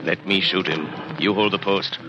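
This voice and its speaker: An actor playing a grave, resolute fugitive ex-convict and protective father in a 1930s radio drama, terse and quietly commanding. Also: steady tone, moderate pace, crowd noise, natural voice. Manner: terse, low-key, commanding. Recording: muffled, noisy 1930s radio recording.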